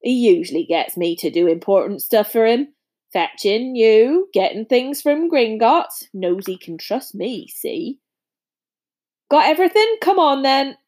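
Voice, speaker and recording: A woman acts out a huge, gruff but kindly giant man from a storybook. He speaks in a rough, colloquial way, grunting, clipping his sentences and taking pride in himself. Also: on the high side, very animated, unhurried, a little mellow. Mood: proud, earnest. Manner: colloquial, dropped words, grunting. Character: big gruff friendly giant man, proud and rustic.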